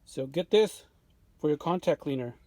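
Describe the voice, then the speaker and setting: A man giving an unscripted show-and-tell of his restoration work in a home workshop, relaxed and full of fillers and restarts. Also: a little high, normal speed, dry recording. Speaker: vintage radio restorer.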